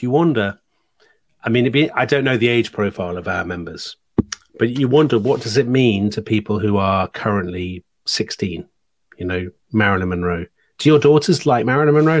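A man's voice: fairly low pitch, a little fast, no room sound. A middle-aged man co-hosting a podcast in casual, chatty conversation, wry and fond of tag questions. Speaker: podcast co-host. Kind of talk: casual chat, reading listener comments.

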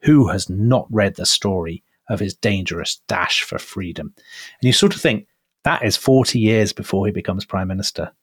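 Co-host, man, lowish voice, teasing banter, dry close mic. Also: lively intonation, normal speed, slightly rough, dry recording. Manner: teasing, mock-indignant. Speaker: podcast co-host. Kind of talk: bantering podcast chat.

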